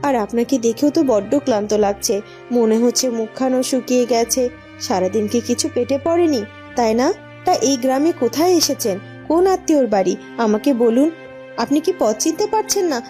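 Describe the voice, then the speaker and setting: A woman's voice: on the high side, lively intonation, a little fast, mellow, faint music behind, close to an everyday voice. A woman voicing a curious, helpful young village woman in cartoon dialogue, sounding concerned. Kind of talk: cartoon dubbing, fairy-tale dialogue.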